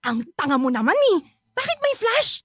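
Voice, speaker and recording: An actress playing a brash, teasing young best friend in comedic radio drama banter, sassy and full of exclamations. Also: female voice, extremely high pitch, wildly swooping pitch, fairly quick, a bit bright, exaggerated voice. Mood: excited, scolding.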